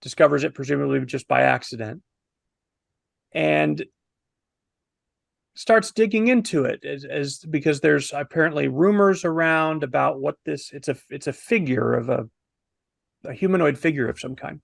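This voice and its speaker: A man in his fifties telling a story in a casual, animated review on a video call, wordy and posing himself questions. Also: a little high, moderate pace, gravelly, pauses often, no echo, studio quality. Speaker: film review panelist.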